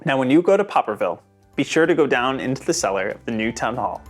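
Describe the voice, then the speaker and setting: A narrator reading a children's storybook aloud with dramatic, expressive emphasis. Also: medium pitch, faint music behind. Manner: dramatic, with sound words and slowed emphasis.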